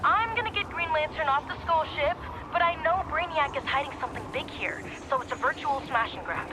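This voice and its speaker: A woman's voice: high voice, animated, fairly quick, background noise. A young woman voicing a bubbly, giggly young female vigilante who leads a heist in a video game cutscene and talks in casual slang. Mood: upbeat. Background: loud game score music.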